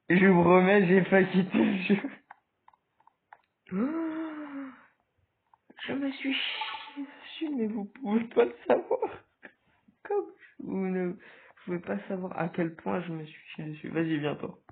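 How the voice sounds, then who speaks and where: Stuffy-nosed man, slow gaming chatter. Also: high-pitched, creaky. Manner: hesitant, many fillers, sudden excited outbursts. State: stuffy with a cold. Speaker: gaming video creator. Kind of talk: casual gameplay commentary. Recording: tinny phone-like recording.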